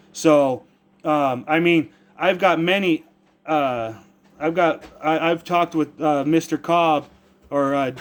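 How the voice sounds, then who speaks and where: An American man speaking as a caller with a complaint, earnest and rambling, halting as he searches for formal words and often repeats himself. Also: fairly high pitch. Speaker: caller making a complaint to a public agency.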